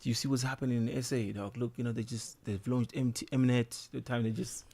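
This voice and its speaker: A man's voice: medium pitch, steady tone, brisk, gravelly, mellow. A man talking casually in an interview as a musician, laid-back, full of slang and fillers. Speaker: guest musician.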